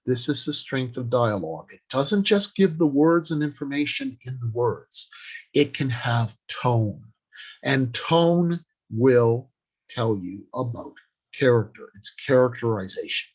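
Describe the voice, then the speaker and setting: A man presents an informal workshop on the craft of writing at a slow pace. He delivers his advice deliberately, in short phrases broken up by pauses. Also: mid-range voice, animated, breathy edge. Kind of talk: informal instructional talk on writing.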